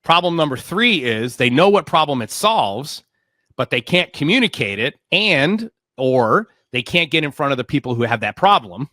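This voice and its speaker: A man's voice: a little high, very animated, normal speed, bright, forceful tone, no room sound, studio quality. A man hosting a tech interview, talking emphatically and often restarting his words. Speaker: podcast host. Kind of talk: casual interview.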